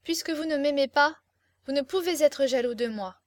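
A woman's voice: sits high, moderate pace, no echo, studio quality, pitched above the performer's own voice, natural voice. A woman reading a storybook, voicing a fiery, imperious young captive beauty with impassioned, theatrical exclamations. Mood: imperious, piqued.

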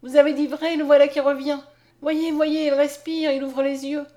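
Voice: sits high, steady tone, a little fast, a little mellow, voice pushed up, close to an everyday voice. A woman narrator voicing a pleading, anguished young peasant girl with imploring exclamations in a storybook reading. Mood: urgent relief.

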